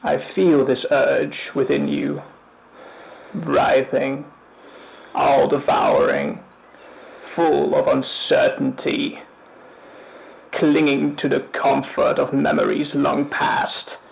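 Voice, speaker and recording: A man playing a bleak, weary otherworldly narrator in an analog horror piece, speaking solemnly and deliberately with a gravelly voice. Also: fairly high pitch, little pitch movement, muffled recording, heavily acted. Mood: grim, menacing. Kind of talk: slow scripted analog horror monologue.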